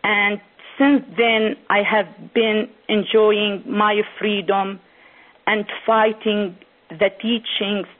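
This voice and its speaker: A woman from Syria, giving a prepared conference speech as an author, delivering it slowly phrase by phrase. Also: medium pitch, fairly even intonation, a little hiss or hum. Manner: slow, deliberate, phrase-by-phrase delivery. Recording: tinny phone-like sound.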